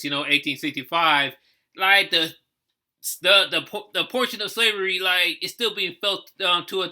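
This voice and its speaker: A man giving casual, off-the-cuff reaction commentary as host, thinking aloud with halting, repeated phrases. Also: high-pitched, sharp tone.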